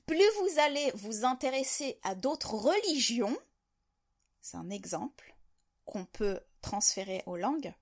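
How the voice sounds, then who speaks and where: Slow, thoughtful French-speaking woman. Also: fairly high pitch. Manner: reflective, rephrasing ideas as she goes. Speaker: video host sharing language-learning reflections. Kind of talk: unscripted monologue to camera.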